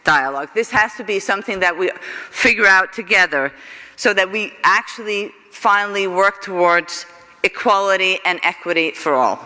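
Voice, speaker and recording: Halting woman in a reverberant hall. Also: mid-range voice, sharp tone. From Iceland. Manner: halting, often repeats and restarts words. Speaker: conference speaker. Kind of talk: answering audience questions on stage.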